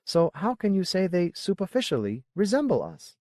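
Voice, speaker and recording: Male reader as skeptical senior advisor, audiobook dialogue, quiet, questioning, measured, raised pitch, dry. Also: very animated, dry recording. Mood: musing, doubtful.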